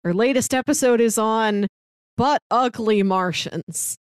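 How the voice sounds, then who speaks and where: A woman's voice: on the high side, a little slow, clear, resonant tone, no echo, studio quality. A woman co-hosting a podcast, talking in a casual, conversational style. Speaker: podcast co-host. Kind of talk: casual chat.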